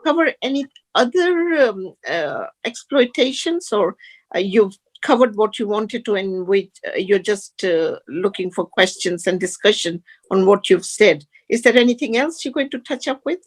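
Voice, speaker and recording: A woman's voice: mid-range voice, expressive, normal speed. A woman taking part in an online discussion, speaking hesitantly and restarting her phrases often. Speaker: participant.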